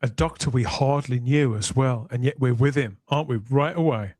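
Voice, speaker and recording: A man's voice: mid-range voice, normal speed, darkish tone, no room sound. A man hosting a casual fan discussion, conversational and inviting agreement. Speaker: podcast host.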